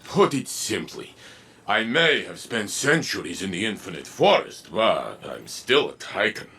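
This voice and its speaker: Deep, earnest armored warrior. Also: male voice, very animated, measured pace, vocal fry, slight background noise, full character voice. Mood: puzzled. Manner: earnest, weighty, warm-hearted. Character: big-hearted male armored warrior, earnest and hopeful. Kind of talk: video game cutscene acting.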